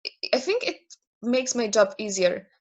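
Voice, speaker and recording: A woman's voice: a little high, lively intonation, moderate pace, highs cut off. Polish woman guest on a video call, lots of uh and um, restarts a lot. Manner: hesitant, many fillers and restarts, laughs often. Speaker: interview guest, naming specialist. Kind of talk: casual interview conversation.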